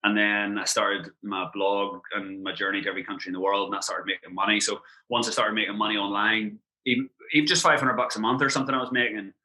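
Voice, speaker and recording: Fast-talking bright Irish man. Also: on the low side, low-quality recording. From Ireland. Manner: quick, animated storytelling with frequent "like" fillers. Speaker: guest. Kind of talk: casual podcast chat.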